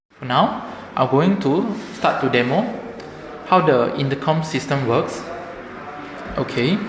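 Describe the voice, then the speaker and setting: A man presenting a product demonstration, explaining things simply, step by step. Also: mid-range voice. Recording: echoey reverberant room, background noise.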